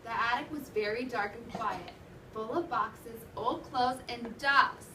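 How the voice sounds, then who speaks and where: High-voiced woman teacher in an echoey room. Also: measured pace. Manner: instructive, questioning, expressive reading. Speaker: elementary school teacher. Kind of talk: interactive storybook read-aloud to a class. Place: indoor classroom. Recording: echoey noisy room, distant mic.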